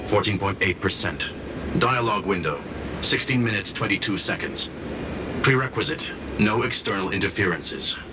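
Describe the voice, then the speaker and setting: A man's voice: lowish voice, lively intonation, normal speed, background noise. A man playing a coldly logical robot genius in a video game, speaking formally in clipped, readout-like statements. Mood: measured, urgent. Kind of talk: scripted video game dialogue. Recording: background music under the voice.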